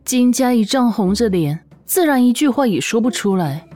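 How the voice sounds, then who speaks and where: 女声有声小说旁白，配乐衬底. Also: female voice, a little high, expressive, moderate pace, mellow. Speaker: audiobook narrator. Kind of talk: expressive scripted story narration. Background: background music.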